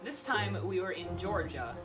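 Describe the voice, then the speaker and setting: A woman's voice: fairly low pitch, sing-song, moderate pace, music in the background. A young woman vlogger telling personal stories in a casual, chatty, playful way, recapping details with mock seriousness. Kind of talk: casual chatty storytelling with a friend.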